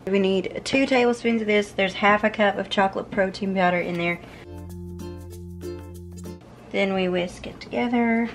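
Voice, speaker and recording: A woman's voice: mid-range voice, fairly even intonation, moderate pace, music in the background. A young woman in her twenties chatting casually while cooking in her home kitchen, filmed on a camera set on the counter. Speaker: cooking vlogger.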